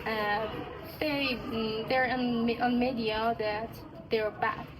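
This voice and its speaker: Teen girl interviewee, hesitant English, set back from the mic with steady noise. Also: on the high side, slow pace. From Afghanistan. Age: teens. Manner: hesitant, many fillers and restarts. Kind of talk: casual interview answers. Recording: distant mic with clear background noise.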